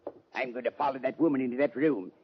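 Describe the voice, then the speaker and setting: A man's voice: medium pitch, steady tone, fast, slight background noise, natural voice. An actor playing a skinny, jittery little English gunman who barks menacing orders in an old-time radio drama. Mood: threatening, jittery. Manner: menacing, barking curt orders. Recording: dull, muffled old radio recording.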